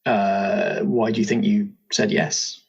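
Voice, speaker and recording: A man's voice: on the low side, flat delivery, takes it slowly, gravelly, slightly rough. A man hosting a casual interview chat at a roleplaying game, asking questions. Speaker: host, compère of character creation session. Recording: slightly dull, lo-fi sound.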